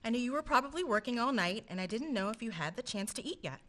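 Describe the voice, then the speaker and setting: A woman playing a bright, teasing grad student. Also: fairly high pitch, expressive, fast talker. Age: young adult. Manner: teasing, playful. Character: young female grad student, teasing and beguiling. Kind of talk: amateur radio play acting.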